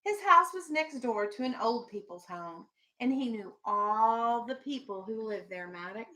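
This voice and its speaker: Woman, schoolteacher, high voice, bright tone, questioning, firm, classroom read-aloud delivery. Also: lively intonation, a little slow, poor audio. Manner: instructional, questioning, firm with pupils. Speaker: elementary school teacher.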